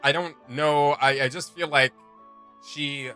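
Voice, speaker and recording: Bright-voiced man gushing in fan commentary. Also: on the high side, steady tone, slow, clean tone, faint music behind. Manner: enthusiastic, gushing. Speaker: reaction video host. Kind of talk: casual fan reaction commentary.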